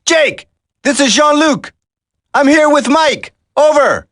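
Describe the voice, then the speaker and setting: A man's voice: very high voice, animated, slow pace, fairly bright tone, no room sound, clean studio sound, full character voice. A man voicing an earnest special forces soldier in a video game, dramatic and urgent. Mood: businesslike. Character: earnest male special forces soldier, determined, worried for comrades.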